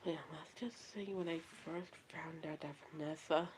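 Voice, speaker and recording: A woman's voice: low voice, fairly even intonation, darkish tone, slight background noise. A woman chatting casually on a livestream, often stopping and restarting her sentences. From Texas, USA. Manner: halting, repeats and restarts phrases often.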